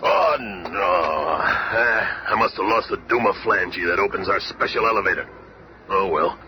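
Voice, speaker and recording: An actor playing a gruff, hot-tempered, immensely strong superhero in a 1970s radio drama, grumbling and wisecracking in slang with a gravelly voice. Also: male voice, low voice, animated, a little slow. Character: gruff, hot-tempered monster-strong superhero, a blue-collar New Yorker. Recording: noisy old 1970s radio recording.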